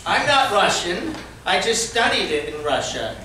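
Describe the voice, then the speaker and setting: A man's voice: sits high, sing-song, measured pace, sharp tone. A man improvising a comedy scene on a small stage, chatty and laughing, acting mock-perturbed. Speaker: improv performer. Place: small theater stage with audience. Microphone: far room mic. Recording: echoey room from far off, some noise.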